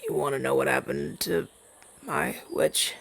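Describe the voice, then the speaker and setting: Male actor for soft, hesitant demon familiar, ASMR roleplay. Wistful and gentle, very gravelly and husky. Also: fairly high pitch, animated, exaggerated voice. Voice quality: breathy, husky half-whisper. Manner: hesitant, soft-spoken, halting and conversational. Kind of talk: ASMR roleplay audio drama, softly spoken to listener.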